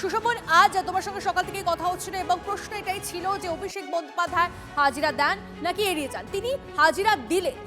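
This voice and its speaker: Very high, quick Bengali woman news anchor. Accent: Bengali from West Bengal. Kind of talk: live news presenting. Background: news music.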